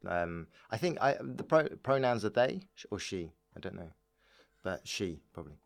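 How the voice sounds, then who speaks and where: Deep-voiced British man chatting casually. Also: sing-song, normal speed, creaky. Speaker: podcast guest, stand-up comedian. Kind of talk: casual chat.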